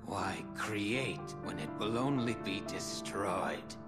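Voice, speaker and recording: An actor voicing a mad, nihilistic clown villain in a video game, theatrical and mocking, swinging from singsong to shrieks. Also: male voice, on the low side, slow pace. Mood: bitter, ominous. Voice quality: creaky, cackling. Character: manic nihilistic male mad clown villain obsessed with destruction. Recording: loud music under the voice.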